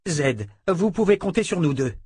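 A man's voice: fairly high pitch, sing-song, normal speed, a little mellow, breathy edge, dry recording, clean studio sound, voice pushed up. A man reading the part of a proud, determined young woman inquisitor in a fantasy audiobook. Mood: resolute.

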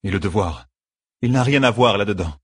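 A man's voice: fairly low pitch, animated, a little fast, a bit bright, husky, dry recording, studio quality. A man voicing a proud, torn queen, a mature woman in love, with a regal, impassioned delivery for an audiobook.

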